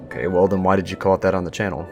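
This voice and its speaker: Quick-talking man hosting an interview. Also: sits low, flat delivery. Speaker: talk show host, interviewer. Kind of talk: casual in-character interview. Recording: music under the voice.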